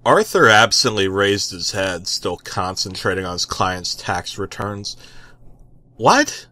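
A man's voice: deep voice, unhurried, bright, forceful tone. A man narrating a comic story as a dramatic reading.

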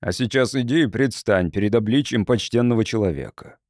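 A man's voice: lowish voice, brisk, darkish tone, no room sound, clean studio sound, lightly acted. Man reading as a stern, menacing abbot. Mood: threatening. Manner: menacing, commanding. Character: stern abbot threatening a young monk with grisly punishment.

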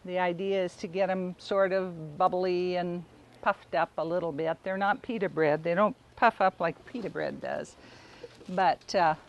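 A middle-aged woman giving a casual, unhurried cooking demonstration, explaining informally as she works outdoors. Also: on the low side, steady tone, lo-fi sound. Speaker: home cook demonstrating a recipe. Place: outdoors in a backyard garden.

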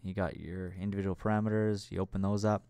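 Deep-voiced man giving a casual tutorial. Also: flat delivery, fast talker, warm tone, clean tone, no echo. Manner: informal, loosely worded, step-by-step explaining. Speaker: tutorial presenter. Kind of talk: casual software tutorial narration.

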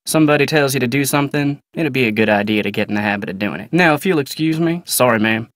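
An American ranch hand from a video game, acted by a man. He talks plainly in a folksy drawl, courteous yet guarded. The medium-pitched voice is recorded dry, with no room around it. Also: lively intonation, fast, fairly bright tone, no echo, lightly acted. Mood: polite, firm. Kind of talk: video game character dialogue.

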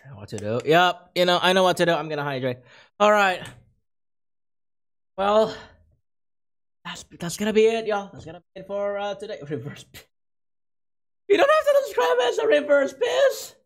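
A livestreamer chatting casually with viewers, voice hoarse and cracking from overuse, gushing thanks in an excitable, self-mocking way. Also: medium pitch, moderate pace, pauses often. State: hoarse, losing voice. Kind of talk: casual stream chat with viewers.

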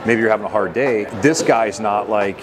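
A man in his forties speaks in a fairly low voice, like a coach in an interview. He is earnest and emphatic, circling back to key phrases. Music plays clearly behind him. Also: moderate pace. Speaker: college hockey head coach. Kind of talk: conversational interview answers. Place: indoor room at a table by a window.

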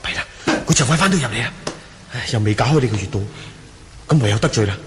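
Man playing a chivalrous mid-pitched young swordsman. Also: expressive, quick pace, natural voice. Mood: urgent, steady. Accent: Hong Kong Cantonese. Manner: reassuring, earnest. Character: brave, protective young swordsman, calm and chivalrous. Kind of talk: Cantonese radio drama acting. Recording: faintly noisy 1980 radio drama.

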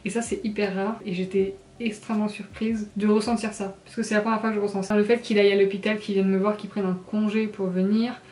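Quick, congested young woman vlogging. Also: mid-range voice, little pitch movement, darkish tone, slight background noise. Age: 20s. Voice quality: congested, nasal. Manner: informal, confiding. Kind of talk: casual chat to camera. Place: small bathroom, indoors. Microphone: camera at arm's length. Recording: echoey tiled bathroom.

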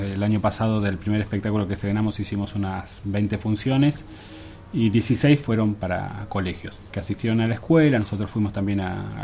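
A man's voice: fairly low pitch, creaky, dark tone. A man with an Argentine accent explaining things informally as a guest in a radio interview. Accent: Argentine Spanish. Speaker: guest, theatre director.

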